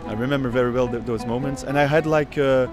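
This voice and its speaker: A man's voice: medium pitch, normal speed. A man in his thirties whose first language is Dutch, speaking thoughtfully in a documentary interview and searching for words as he goes. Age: 30s. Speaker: oud player. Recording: music playing behind.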